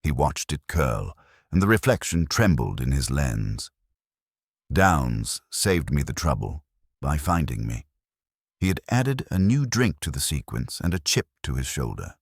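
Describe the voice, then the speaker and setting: A man reading a first-person detective story as an audiobook narrator, in a measured hardboiled style. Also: a bass voice, sing-song, slightly rough, no echo, clean studio sound.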